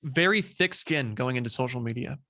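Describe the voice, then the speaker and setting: A young man talking as a guest in a relaxed interview, hesitant and full of fillers and restarts. His voice sits at an everyday pitch, and the sound is dry and close. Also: no echo. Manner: halting, many fillers and repeats. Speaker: guest, social media creator. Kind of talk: casual interview chat.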